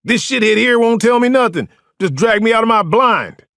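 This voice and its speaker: A man's voice: very high-pitched, lively intonation, moderate pace, gravelly, a bit bright, no room sound, clean studio sound, pitched above the performer's own voice, heavily acted. A man voicing a scrawny, foul-mouthed poacher in an audiobook, belligerent, rustic and full of complaint. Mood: spitting mad. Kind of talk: audiobook character acting.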